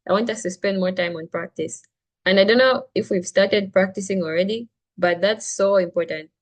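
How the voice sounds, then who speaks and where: A woman's voice: mid-range voice, moderate pace. A young woman from Zambia teaching a casual reading class, chatty and full of "like" and "right?" as she explains. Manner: chatty, frequent "like" and "right?", restarts sentences. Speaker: SAT reading tutor.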